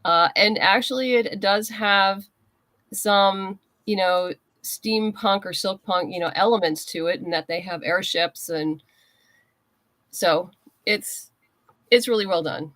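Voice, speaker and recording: A woman guest in a casual interview, chatting in a halting way as she thinks aloud. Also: medium pitch, fairly bright tone, no echo. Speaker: interview guest, comics writer and creator.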